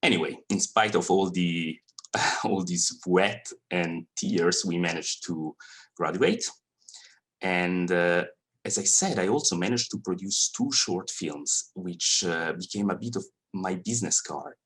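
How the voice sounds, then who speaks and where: A man from Italian-speaking Switzerland with a clear Swiss Italian accent gives a talk to students. His voice is deep and a little husky, and he hesitates often, restarting phrases with friendly fillers. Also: measured pace, fairly bright tone. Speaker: animator giving an industry talk. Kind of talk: presentation to students. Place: college lecture room.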